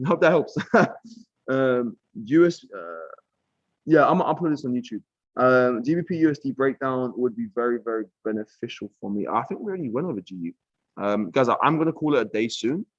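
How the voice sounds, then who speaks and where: A young man with a British accent talks through trading charts on a live stream in a loose, chatty way, tossing in "bro" and "um" as he goes. His voice sits at an everyday middle pitch. The sound is dry and a little muffled, like a home setup in a room. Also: no echo. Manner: relaxed and chatty, peppered with "um", "bro" and "like". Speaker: trading mentor. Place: villa room.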